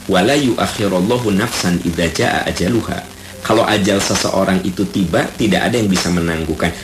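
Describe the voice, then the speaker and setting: A man with a Sundanese accent preaching on the radio in a low, animated voice that rises and falls widely. He teaches by repeating key terms and reciting Arabic verses. The sound is roomy, with faint ambience behind him. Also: a little hiss or hum. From Bandung, Indonesia. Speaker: Islamic preacher. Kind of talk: radio sermon.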